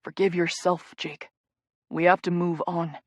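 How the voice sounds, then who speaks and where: A woman voicing a gentle young male hockey player who comforts his partner in low, soft murmurs. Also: level tone, a little slow, no room sound. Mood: soothing.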